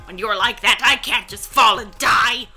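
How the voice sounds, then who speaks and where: Woman acting cranky old grandmother, yelling, exasperated, bright sharp tone, game character. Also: on the high side, moderate pace, soft background music. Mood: exasperated nagging. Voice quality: very loud, yelling. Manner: exasperated, nagging, snorting. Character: loud, scolding, scowling old grandmother.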